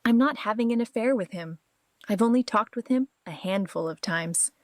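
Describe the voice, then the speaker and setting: A woman's voice: fairly high pitch, moderate pace, no room sound, natural voice. Defensive, vulnerable young mother played by a woman. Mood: guilty, vulnerable. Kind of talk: audio drama dialogue.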